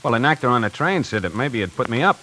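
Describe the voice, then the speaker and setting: A man's voice: mid-range voice, sing-song, fast talker, bright, forceful tone, low-quality recording. An actor playing a streetwise, nervous young tie salesman in a 1940s radio play, tough-talking and slangy, on an old radio recording. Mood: wary. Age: young adult. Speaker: lead actor narrating.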